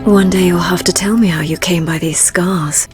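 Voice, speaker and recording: An actress playing a flirtatious upper-class British socialite in a video game, arch, playful and teasing. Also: female voice, on the low side, fairly even intonation, moderate pace, music in the background, natural voice. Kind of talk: video game cutscene acting.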